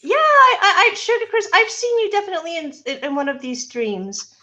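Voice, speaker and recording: A woman's voice: extremely high pitch, expressive, brisk, sharp tone, highs cut off. A woman from Italy, a comic artist guest on a casual livestream chat, chatty and giggly, using lots of fillers.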